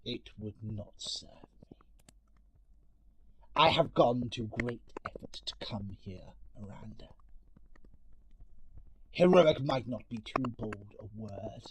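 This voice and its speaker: A man playing an ugly, spiteful monster in an audio story, delivering a bitter, theatrical monologue of revenge with deliberate menace that swings between hiss and shout. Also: medium pitch, lively intonation. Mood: cold threat. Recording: noisy amateur home recording with clicks.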